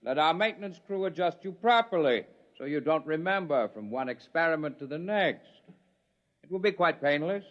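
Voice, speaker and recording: A man playing a calm, menacing male scientist villain in a 1950s radio drama, old dull recording. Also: fairly high pitch, moderate pace, lightly acted.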